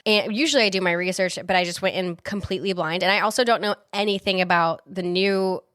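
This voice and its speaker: A young woman co-hosting a horror podcast with casual enthusiasm. She swears freely. Her voice sits at a middle pitch, recorded close and dry. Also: steady tone, quick pace, fairly bright tone, smooth, dry recording. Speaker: podcast co-host. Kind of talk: casual chat.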